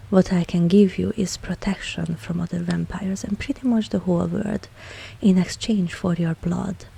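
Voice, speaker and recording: A woman playing a dominant, possessive vampire mistress in an intimate ASMR audio roleplay, cool and seductive, speaking deliberately and often dropping into a whisper. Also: lowish voice, gravelly, warm tone, husky, heavily acted, rough recording. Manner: slow, deliberate, commanding, teasing.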